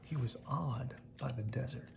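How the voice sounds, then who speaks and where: Bedtime storyteller, hushed, often whispering, heavy creak, unhurried slow pace, faint background noise. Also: medium pitch. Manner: keeping quiet, often dropping to a whisper. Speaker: storyteller reading a picture book aloud. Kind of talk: hushed bedtime read-aloud narration.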